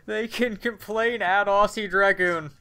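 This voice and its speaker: A man's voice: high voice, fairly even intonation, measured pace, pushed and bright, clean tone. A man co-hosting a podcast in casual chat, recorded on a noisy track with clicks. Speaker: podcast co-host.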